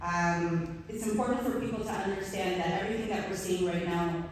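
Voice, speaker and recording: A woman's voice: on the low side, brisk, a little hiss or hum. A Canadian woman in her forties giving an earnest, conversational speech to a community audience, with filler words. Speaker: award recipient giving a speech. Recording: echoey hall, voice from far off.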